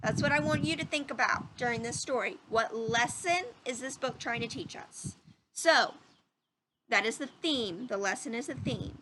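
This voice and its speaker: A woman reading a children's story aloud in an instructive, teacherly manner, pausing to put questions to young listeners. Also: on the high side, pushed and bright, slight background noise, rough recording. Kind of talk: children's read-aloud narration.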